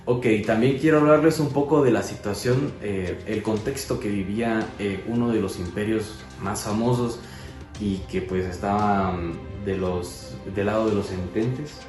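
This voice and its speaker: A man's voice: fairly low pitch, measured pace. A man explaining history as co-host in a casual educational chat, with many fillers and restarts. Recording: echoey room with music.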